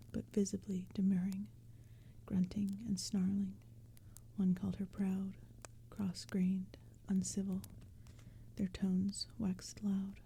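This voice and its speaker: A woman reading poetry as ASMR narration in a soft, breathy, hushed whisper, gentle and measured. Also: medium pitch. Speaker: narrator and reader. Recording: background crackling fire and forest ambience. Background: crackling fire and forest sounds.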